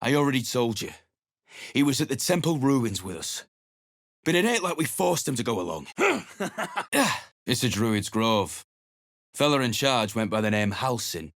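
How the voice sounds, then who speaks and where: An actor playing a surly, battle-worn mercenary adventurer in a video game, speaking in a gruff, blunt, dismissive way. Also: male voice, mid-range voice, expressive, moderate pace, fairly bright tone, no echo, clean studio sound.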